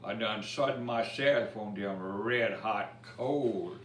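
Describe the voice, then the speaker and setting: Man acting out excitable old Cajun Santa, storybook gator driver, hollering, slow, echoey distant recording. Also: fairly low pitch. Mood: startled, pained. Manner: hollering, exclamatory. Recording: roomy, distant mic with faint noise.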